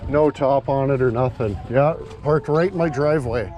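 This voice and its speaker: Male vlogger, mid-pitched, crude joking chat outdoors with some background noise. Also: moderate pace. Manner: foul-mouthed, joking. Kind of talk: casual outdoor banter. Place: outdoors in a yard and driveway. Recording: noisy outdoor recording, vehicle and ambient noise.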